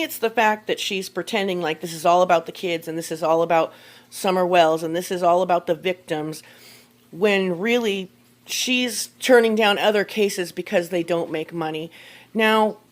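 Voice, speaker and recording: American woman, lower voice, commentary narrator for creator videos. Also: normal speed.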